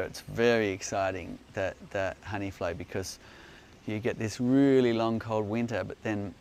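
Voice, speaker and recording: A man in his forties hosting an outdoor beekeeping Q&A, explaining with enthusiasm while he demonstrates in a hillside garden. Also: lowish voice. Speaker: beekeeping company host. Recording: faint outdoor noise.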